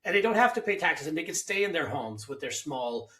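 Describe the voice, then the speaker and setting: A man hosts a casual interview and shares his views at length. His voice is bright and forceful. The room around him is echoey. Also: on the high side, sing-song, brisk, recorded from a distance, a little hiss or hum. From Hungary. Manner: opinionated, talks at length. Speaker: host.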